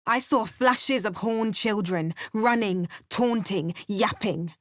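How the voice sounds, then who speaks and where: A woman narrating a fantasy video game in measured, scripted storytelling. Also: mid-range voice, fairly bright tone, no room sound, clean studio sound.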